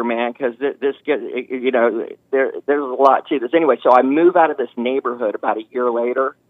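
A man's voice: medium pitch, no echo. Man in his fifties on a phone line. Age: 50s. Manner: folksy, animated storyteller acting out quoted voices. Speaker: caller. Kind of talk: casual storytelling on a call-in show.

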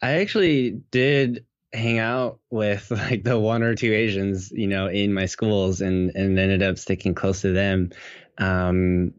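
Young man from Seattle, low-pitched, halting casual delivery, dry lo-fi recording. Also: clean tone, no room sound. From Seattle, United States. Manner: relaxed, hesitant, many fillers and restarts. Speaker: guest. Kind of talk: casual interview chat. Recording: dull, lo-fi audio.

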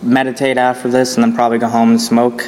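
A young man from Northeast Ohio speaks calmly and earnestly in a flat, even tone. He is in a gym, and his voice rings with long reverb over faint background noise. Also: medium pitch, fast talker. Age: young adult. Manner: calm, earnest, flat delivery. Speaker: listener calling in.

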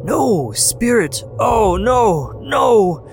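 A male storyteller puts on an old miser's voice, pitched high and pleading in anguish. He cries out desperately over music playing in the background. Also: expressive, slow, a bit bright, exaggerated voice. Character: old miser man, terrified and begging.

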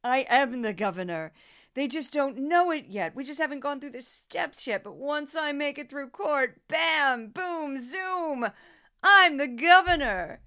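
A woman's voice: high voice, expressive, measured pace, bright, forceful tone, heavily acted. A woman putting on a parody of a smug, self-deluded female politician hosting a party, emphatic and insistent, in a satirical radio play. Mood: defiant.

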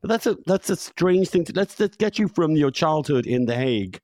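A man's voice: on the high side, lively intonation, moderate pace, darkish tone, dry recording. A man hosting a podcast, introducing his guest and asking eager, interrupting follow-up questions. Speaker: podcast host. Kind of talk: conversational interview.

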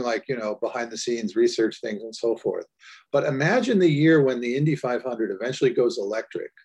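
A man co-hosting a podcast, musing and joking in relaxed conversation. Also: medium pitch, slightly rough. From United States. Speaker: podcast co-host. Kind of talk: casual podcast discussion.

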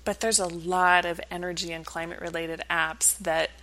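Bright, forceful woman explaining in an interview. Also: lowish voice, flat delivery, moderate pace, slight background noise. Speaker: interview guest, behavioral science researcher. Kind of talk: conversational expert interview.

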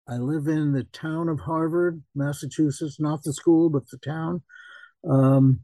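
A man's voice: a little high, dark tone, no room sound, rough recording. An older man giving a casual self-introduction as a participant in a group conversation.

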